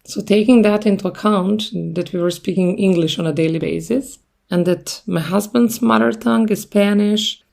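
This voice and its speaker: A woman in her thirties, a native Serbian speaker, hosting a podcast in thoughtful, unhurried English as a second language. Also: mid-range voice, vocal fry, darkish tone. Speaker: podcast host. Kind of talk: casual solo podcast talk.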